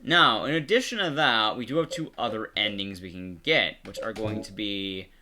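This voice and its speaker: A man's voice: lowish voice, normal speed, over music. A man giving casual, conversational live stream commentary on a game, explaining things directly to his viewers. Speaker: streamer and horror game speedrunner.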